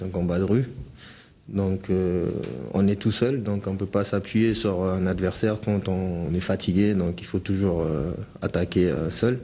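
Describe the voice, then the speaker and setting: A man in his late twenties from French Guiana, a basketball player answering interview questions haltingly, with many pauses and fillers. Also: sits low, dark tone, slightly rough. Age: 20s.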